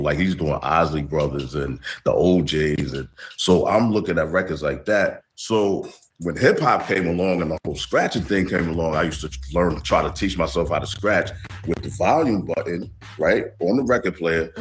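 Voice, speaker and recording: A man's voice: sits low, moderate pace, slight background noise. A man in a documentary interview, reflectively telling how he got into music. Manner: reflective, casual storytelling. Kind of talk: recalled life story.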